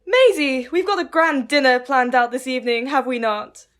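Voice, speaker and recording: A woman's voice: sits high, normal speed, a bit bright. A woman doing a genteel lady of the house. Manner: genteel, hostess-like. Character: gracious upper-class mother of the house.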